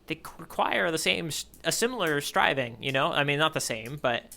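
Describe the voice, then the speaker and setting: An American man co-hosting a podcast in casual chat, reflective and halting as he circles back to rephrase his thoughts. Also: fairly high pitch, animated, gravelly, bright, forceful tone. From United States. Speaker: podcast co-host.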